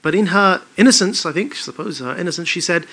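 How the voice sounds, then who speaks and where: A British-born man giving an articulate, emphatic public talk in measured phrases. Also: high-pitched, animated, bright, forceful tone. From United Kingdom. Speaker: public speaker.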